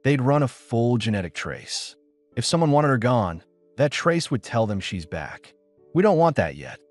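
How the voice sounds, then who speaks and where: Man voicing a steady, protective sci-fi rescuer. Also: mid-range voice, moderate pace, no echo, soft background music. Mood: steady, cautious. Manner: steady, matter-of-fact, clipped. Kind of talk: audiobook character dialogue.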